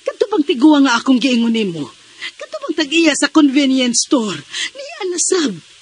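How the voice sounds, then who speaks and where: A woman with a Visayan Filipino accent playing an excitable, flustered mother, calling out loudly in a radio drama. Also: high voice, normal speed. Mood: alarmed, urgent.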